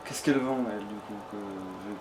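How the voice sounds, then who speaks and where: A man's voice: on the low side, fast talker, over music. A man doing casual let's play commentary, slangy and sweary, thinking aloud as he plays. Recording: noisy home gaming capture.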